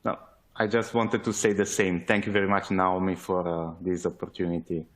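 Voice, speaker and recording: A man with an Italian accent presents on a webinar in a very low, flat voice. He speaks in a measured way, pausing often on fillers as he works through his points. He sounds like he is on a video call. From Italy. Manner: hesitant, many fillers, measured. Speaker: webinar presenter, sales manager.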